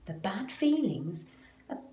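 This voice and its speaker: Lady doing kids story time, slow n dramatic, super up and down voice, bit echoey. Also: medium pitch, big pitch swings, warm tone. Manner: deliberate, dramatic phrasing with emphasis on action words. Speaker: school staff member reading a picture book aloud. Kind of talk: expressive children's storybook read-aloud. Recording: roomy, echoey, faint background noise.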